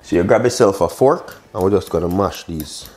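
A man with a deep voice presents a recipe in a casual, chatty way. He addresses the viewer directly in short phrases and exclamations. Some noise and clicking sit behind his voice in the kitchen. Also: normal speed, darkish tone. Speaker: cooking show host, chef. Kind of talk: casual step-by-step recipe demonstration. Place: indoor modern home kitchen.

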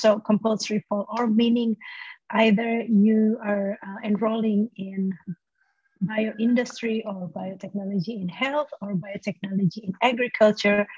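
A woman's voice: medium pitch, a little mellow, breathy edge, no echo. A woman, a university lecturer explaining a study program, with many "uh" fillers. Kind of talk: presenting a study program over a video call. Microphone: laptop mic on a video call. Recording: dull lo-fi video call audio.

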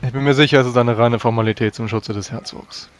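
A man's voice: medium pitch, fairly even intonation, lightly acted. Man playing a dry, sardonic adventurer. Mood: pondering. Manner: deliberate, dry, hesitating while thinking. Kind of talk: tabletop roleplay in character.

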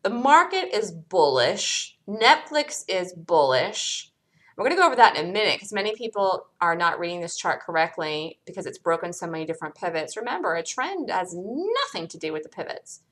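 A woman's voice: on the low side, sing-song, normal speed, fairly bright tone. A woman analyst commenting on a chart, speaking emphatically and repeating words for stress. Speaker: host, stock trader and analyst. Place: indoors, screen-recorded voice-over.

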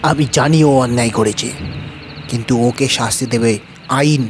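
A man playing a calm, protective doctor in a horror audio story, firm and reassuring. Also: medium pitch, normal speed, faint music behind, lightly acted.

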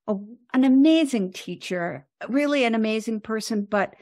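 An American woman of seventy talking reflectively as an interview guest, with thoughtful, halting phrasing. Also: a little high, lively intonation, a little mellow, no room sound, clean studio sound. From United States. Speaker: podcast guest, composer and author.

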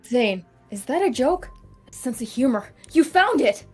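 A woman reading character lines in a casual fan table read, teasing and energetic. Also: high voice, a little slow, slightly rough, faint music behind.

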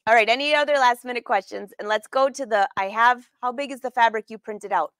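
Middle-aged female craft host for a casual live demo; fairly high voice, chatty with viewers, dry room sound. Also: brisk, a bit bright, dry recording. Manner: chatty, informal, peppers talk with questions to viewers. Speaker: host of a live sewing lesson. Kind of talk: casual live craft demonstration with audience chat. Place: sewing studio room, indoors.

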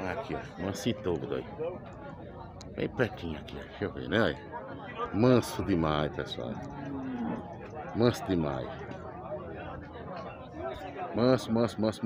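A middle-aged man, chatting casually to viewers as he walks through outdoor livestock pens. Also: deep voice. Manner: chatty, pointing things out to viewers. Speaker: vlogger visiting a cattle fair. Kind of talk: casual on-the-spot commentary. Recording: busy outdoor crowd noise. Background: crowd chatter.

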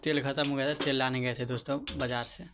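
A man talks through a home cooking vlog in a flat, level voice, narrating every step and calling out to his friends. He is recorded on a handheld phone in a small kitchen. Pots and dishes clatter clearly in the background. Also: medium pitch, quick pace, noisy background. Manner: chatty, keeps addressing friends, narrates each step. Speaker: cooking vlogger. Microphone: handheld phone camera.

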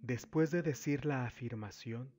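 A man narrating a guided meditation as a spiritual guide, giving deliberate instructions in short phrases with long pauses. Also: medium pitch, darkish tone. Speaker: spiritual therapist, meditation guide and channel host. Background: background music.

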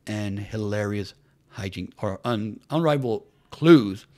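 A man's voice: lowish voice, a little slow, darkish tone. A man giving mocking commentary on entertainment news, sarcastic and fond of repeated rhetorical questions. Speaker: commentary channel host.

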